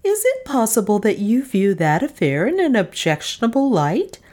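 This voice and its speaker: A woman's voice: on the high side, huge pitch swings, moderate pace, darkish tone, voice pushed up. A woman playing a sly domineering wife. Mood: indignant. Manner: arch, coaxing, theatrical lilt. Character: bold scheming middle-aged wife, sly and domineering.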